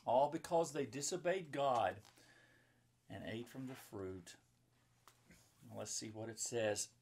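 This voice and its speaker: A man's voice: medium pitch, slow, darkish tone, husky. A man in his fifties, a pastor, reading a children's story and explaining it simply in chatty asides. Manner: simple explaining to young listeners. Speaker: pastor and children's book author. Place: indoor book-lined room at home or office.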